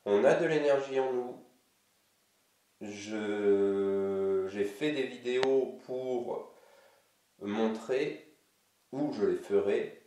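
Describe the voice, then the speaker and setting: A man with a cold, an energy healer giving a casual instructional video in a slow, halting way. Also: on the low side, dark tone, distant mic. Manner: slow, halting, with many pauses. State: has a cold. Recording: roomy echo, away from mic.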